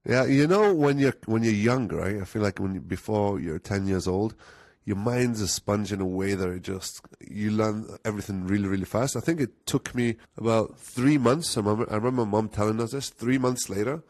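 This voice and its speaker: Lowish-voiced man from Algeria, relaxed. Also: a little fast, no echo. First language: Arabic. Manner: relaxed, explanatory, with fillers. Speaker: guest, marketing analytics head. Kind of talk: podcast interview.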